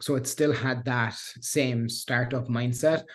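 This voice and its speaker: This is a man from Cork speaking with an Irish accent, relaxed and conversational as an interview guest. He circles back on his words, fills gaps with "uh" and "you know," and tends to laugh at himself. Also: mid-range voice, takes it slowly. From Ireland. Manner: rambling, many restarts and fillers, self-deprecating laughs. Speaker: guest, software engineer. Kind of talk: casual interview chat.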